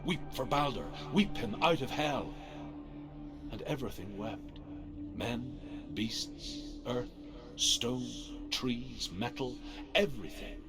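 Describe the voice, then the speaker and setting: A man playing a solemn storyteller of old Norse myths in a video game, narrating in a grave, measured way. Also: lowish voice. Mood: dramatic.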